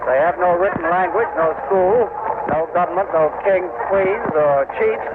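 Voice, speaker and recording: An actor playing a formal, declamatory male field correspondent in an old-time radio docudrama, describing the scene vividly and with deliberate emphasis. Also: high-pitched. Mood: emphatic, admiring. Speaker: field reporter. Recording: very noisy, tinny vintage radio recording.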